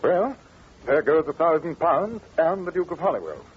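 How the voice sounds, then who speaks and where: A man playing a composed, shrewd British gentleman detective in an old-time radio drama, speaking with precise courtesy and dry irony. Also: a little high, very animated, normal speed, slightly rough, full character voice. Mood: dryly dismissive. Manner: polite, precise, dryly ironic. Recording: faintly noisy, dull old radio recording.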